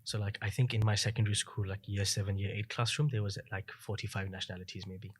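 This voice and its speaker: A man in his thirties, a podcast guest, telling his story in a fast, reflective way with many fillers. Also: fairly low pitch, flat delivery, slightly rough. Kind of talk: casual interview chat.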